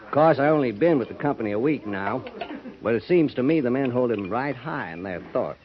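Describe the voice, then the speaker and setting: A man's voice: mid-range voice, expressive, fairly quick, lightly acted. Actor as brooding, folksy American veteran cavalryman, dull sound. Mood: deferential, sly. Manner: folksy, rambling, hesitant. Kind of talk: 1950s American radio western acting. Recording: dull, noisy 1950s radio transcription.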